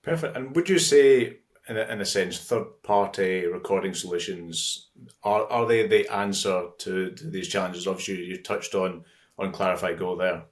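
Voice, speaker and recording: A man's voice: deep voice, moderate pace. A man hosting an interview, putting questions and prompts to a guest in a conversational style. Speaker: interviewer, host.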